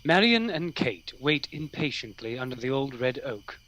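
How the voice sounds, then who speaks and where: A man's voice: medium pitch, very animated, moderate pace, sharp tone, slight background noise, rough recording. A man narrating a radio play as a wry storyteller, in scripted style. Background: faint music.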